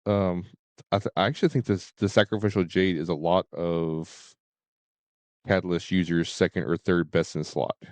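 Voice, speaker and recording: Low-voiced man, casual game streamer. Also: fairly even intonation, dry recording. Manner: halting, repeats and stutters on words.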